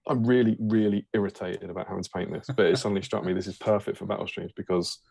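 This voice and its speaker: Low husky man joking around. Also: steady tone, fast talker, a little mellow, no room sound. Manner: jokey, rambling, full of fillers. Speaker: host. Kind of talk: casual stream chat.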